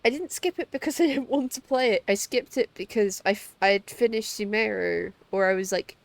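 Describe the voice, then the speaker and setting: A woman's voice: mid-range voice, fairly quick, creaky, no room sound. A woman game streamer giving casual live commentary with wry, slangy asides. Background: game music.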